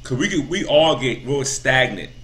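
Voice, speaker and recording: A man's voice: medium pitch, sing-song, normal speed, fairly bright tone. A man in his thirties giving a casual, rambling motivational talk as a vlogger, full of repeats and "you know" fillers. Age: 30s.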